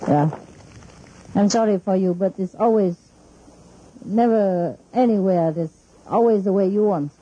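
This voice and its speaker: A woman's voice: medium pitch, a little slow, long pauses, warm tone, lo-fi sound, a little hiss or hum. Chatty Vietnamese-accented woman on old tape. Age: middle-aged. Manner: chatty, laughing often, mixing in Vietnamese. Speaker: spiritual teacher. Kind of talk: informal talk to disciples.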